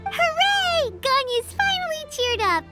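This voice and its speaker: A woman voicing a tiny, childlike, bubbly fairy companion in a video game, squeaky and full of exclamations. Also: sing-song, a little slow, heavily acted. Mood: delighted. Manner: squeaky, exclaiming, talks in third person. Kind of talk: animated video game voice acting. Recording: loud music under the voice.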